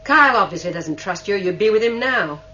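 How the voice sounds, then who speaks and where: A woman's voice: medium pitch, lively intonation, fast talker, pushed and bright. An actress playing a tough, commanding female starship captain in a sci-fi radio drama, speaking in terse threats. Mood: stern, threatening. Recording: muffled, hissy old radio recording with hum.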